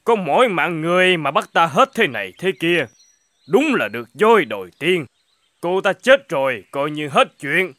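A man voicing a greedy, scheming middle-aged feudal lord in a cartoon, lively and given to shouting. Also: a little high, normal speed, no echo. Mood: scornful. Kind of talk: cartoon dubbing. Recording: music playing under the voice.

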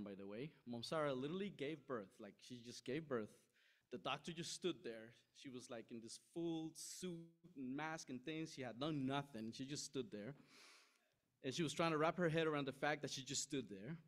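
A young man from Costa Rica preaching a sermon from a podium, conversational and animated, acting out quoted voices, recorded in a large church hall. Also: mid-range voice, normal speed.

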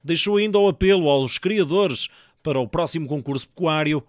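A man's voice: fairly high pitch, a little fast. A man with a European Portuguese accent reading scripted narration for a regional news report.

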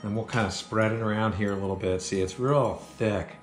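A man's voice: on the low side, fairly even intonation, a little fast. A middle-aged man hosting a home cooking show, talking upbeat and chatty straight to the camera as he demonstrates a recipe in a large home kitchen. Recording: echoey kitchen, soft background music.

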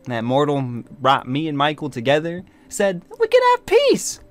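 A man's voice: on the high side, huge pitch swings, normal speed. A man playing a sarcastic, wildly swooping warlock. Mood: gleeful, incredulous. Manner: mocking, theatrical. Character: sarcastic, vengeful male warlock leading a coven. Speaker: roleplay actor. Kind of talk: improvised Minecraft supernatural roleplay. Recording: music behind the voice.

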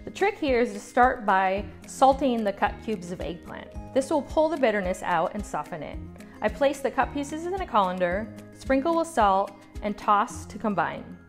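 Woman narrating a recipe calmly. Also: on the high side, moderate pace. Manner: calm step-by-step instructions. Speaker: cooking instructor. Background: soft background music.

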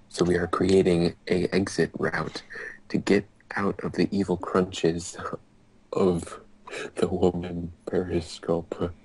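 Deep-voiced man reading aloud haltingly, noisy call. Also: darkish tone. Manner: halting, stumbling over odd wording. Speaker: fanfiction reader in a group call. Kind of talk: amateur dramatic reading aloud among friends. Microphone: computer mic on a group internet call. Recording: hissy online group call, mouse clicks.

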